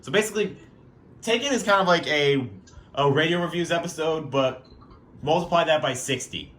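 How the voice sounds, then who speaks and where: A man's voice: a little high, moderate pace, a bit bright, a little hiss or hum. A young man rambling casually through a review vlog in his bedroom, joking and dropping lots of fillers. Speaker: weather radio collector.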